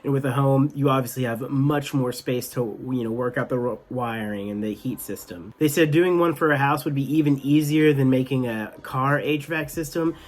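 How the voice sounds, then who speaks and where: A man's voice: mid-range voice, moderate pace, hardly any pauses. A man hosting a tech news show, giving enthusiastic, partly scripted commentary with some casual asides.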